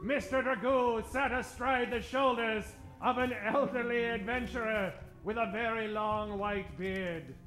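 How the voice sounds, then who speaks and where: Male radio host, high pitch, dramatic serial narration, announcer delivery, music behind. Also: fairly even intonation, a little slow, few pauses, fairly bright tone. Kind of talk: theatrical old-time radio serial narration. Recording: roomy stage recording, music behind.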